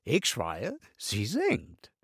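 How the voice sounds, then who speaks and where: An actor playing an excitable course instructor in a children's radio play, dropping English words into his speech. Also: mid-range voice, very slow, very noisy, exaggerated voice. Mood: enthusiastic. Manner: mixes English words into German. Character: excitable male course instructor introducing his fantastic colleague.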